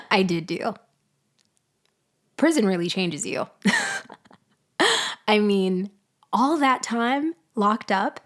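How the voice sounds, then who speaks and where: Woman acting a slow-talking, vengeful ex-girlfriend. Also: mid-range voice, exaggerated voice. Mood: gloating, menacing. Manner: slow, pointed, mock-sweet delivery with loaded pauses. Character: vengeful ex-girlfriend freshly released from prison, bitterly sardonic. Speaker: audio roleplay performer. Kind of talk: girlfriend ASMR roleplay acting.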